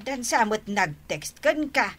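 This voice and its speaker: A woman playing a fussy, flustered elderly village wife in a radio drama, hesitant and full of drawn-out exclamations. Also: fairly high pitch, big pitch swings, a bit bright, heavily acted. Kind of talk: radio drama acting in rural family comedy.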